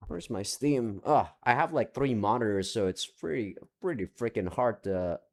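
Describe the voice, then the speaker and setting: A young man with a fairly low voice gives a loose, unscripted update to his viewers. He rambles and breaks off mid-sentence, peppering his talk with casual swearing as he searches his screens. It is recorded in his room at home. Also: animated, moderate pace. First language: not Japanese. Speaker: visual novel let's play creator. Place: home room with several monitors.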